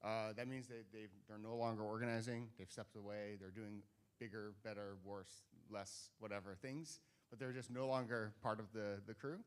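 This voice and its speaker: Chatty Dutch man, smooth voice. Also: fairly low pitch, flat delivery, moderate pace. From Netherlands. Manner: chatty, self-deprecating, joking asides. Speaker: conference co-chair. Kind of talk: casual talk to a live audience. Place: conference room on stage.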